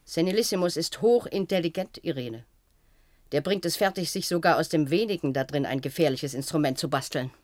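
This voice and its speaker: An actress playing a strict, cold matron in a German radio play, giving orders in a brisk, condescending, authoritative manner. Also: female voice, deep voice, a little mellow, lightly acted. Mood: stern, secretive. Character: strict, cold institutional matron with a callous streak. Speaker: head matron instructing a new attendant.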